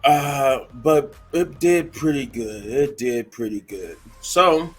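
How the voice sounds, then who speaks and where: Hoarse, rambling man. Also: mid-range voice, lively intonation, slow, faint music behind. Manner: rambling, many fillers and restarts. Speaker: wrestling reviewer. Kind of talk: casual unscripted review.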